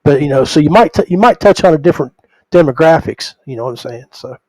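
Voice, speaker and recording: A man's voice: fairly high pitch, animated, quick pace, a little mellow, no room sound, low-quality recording. An older man hosting a podcast in a casual, folksy way, talking straight to his listeners. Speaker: podcast host.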